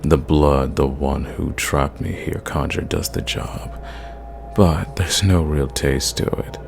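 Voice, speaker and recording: An ancient, sadistic vampire acted out by a man speaks in an extremely low voice full of gravelly creak. He takes his time, slow and theatrical, condescending to his listener as befits an ASMR fairytale roleplay villain. A faint noise sits behind the voice. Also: fairly even intonation, heavily acted. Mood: hungry, dominant. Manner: theatrical, condescending, measured, drawing out words.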